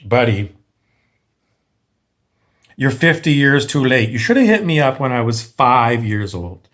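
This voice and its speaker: A man's voice: mid-range voice, big pitch swings, a little slow, fairly bright tone, pitched below the performer's own voice. A man acting out a tired, dismissive middle-aged worker with a curt know-it-all manner, in an informal monologue.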